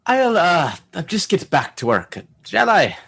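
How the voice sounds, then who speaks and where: A man's voice: sits high, very animated, a little slow, gravelly, a bit bright, husky, full character voice. An actor playing a fretful, frustrated male chief engineer on a space station in a comedic radio play. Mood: awkward. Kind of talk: comedic radio theater acting.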